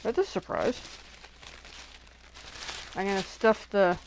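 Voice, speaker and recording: A woman's voice: medium pitch, sing-song, slow pace, slight background noise, rough recording. A sniffly woman hosting a casual crafting livestream, chatting and thinking aloud as she unboxes and works at home.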